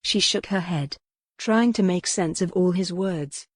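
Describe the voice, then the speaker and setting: A woman's voice: fairly low pitch, a little slow, breathy edge, no room sound. A woman reading scripted, dramatic historical romance narration as an audiobook narrator.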